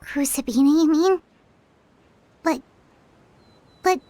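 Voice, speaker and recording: Tiny squeaky fairy sidekick from a game, super high kiddy voice, slowish, earnest. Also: female voice, mellow. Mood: hesitant. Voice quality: squeaky, childlike. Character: tiny childlike female fairy companion, earnest and anxious. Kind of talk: video game character acting.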